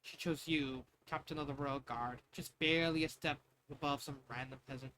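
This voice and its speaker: A man's voice: medium pitch, steady tone, moderate pace, bright, forceful tone, natural voice. A man reading the part of a dry, disdainful royal woman in a story, with faint noise behind. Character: deadpan, scornful royal sister-in-law, a woman.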